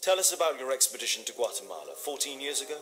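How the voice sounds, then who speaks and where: An actor playing a composed Victorian master detective in a video game, formal, clipped and coolly inquisitive. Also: male voice, medium pitch, animated, fast talker, background noise, lightly acted. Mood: probing. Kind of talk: video game dramatic acting.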